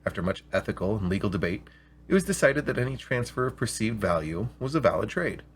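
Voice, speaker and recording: A man with a slightly rough voice, hosting and reading scripted stories aloud in an expressive, deliberate way. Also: fairly low pitch, a little hiss or hum. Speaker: storyteller and show host. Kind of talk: scripted story reading with spoken intro.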